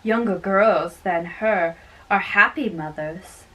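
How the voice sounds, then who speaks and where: Slow-paced woman reading a play aloud. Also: mid-range voice, sharp tone, far from the mic, poor audio. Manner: halting, repeats and corrects lines. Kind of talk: amateur dramatic play reading.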